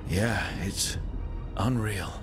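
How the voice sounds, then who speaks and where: A blunt young hero who speaks in a matter-of-fact way in a fantasy game. His voice sits low. Clear music plays behind him. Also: very slow. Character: blunt young man hero, team leader. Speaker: player character. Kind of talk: video game voice acting.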